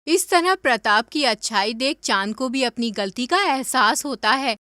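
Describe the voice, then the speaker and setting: A woman's voice: on the high side, lively intonation, unhurried, pushed and bright, no room sound, clean studio sound. A woman reading scripted story narration for a cartoon.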